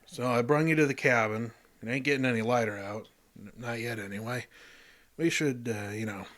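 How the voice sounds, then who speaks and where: A man's voice: mid-range voice, a little fast, a bit bright, lightly acted. Man playing uneasy, folksy backwoods local. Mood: nervous. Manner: folksy ungrammatical speech, hesitant. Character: uneasy, haunted rural local man, plain-spoken. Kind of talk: radio horror drama acting. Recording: music behind the voice.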